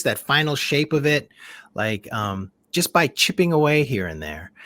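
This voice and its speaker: Man guest on a video call, musing, restarting sentences, dry close sound, middle pitch. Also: fairly bright tone, no echo. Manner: rambling and reflective, with many restarts. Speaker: podcast guest, community founder. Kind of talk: casual video-call chat.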